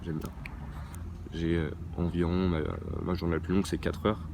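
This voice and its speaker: Deep-voiced teen boy, hesitant. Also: little pitch movement, normal speed. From France. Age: teens. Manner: hesitant, many fillers and restarts. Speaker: young baseball player interviewed. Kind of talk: interview answers. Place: outdoor baseball training ground near a motorway. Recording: outdoor traffic noise. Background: traffic and ambient noise.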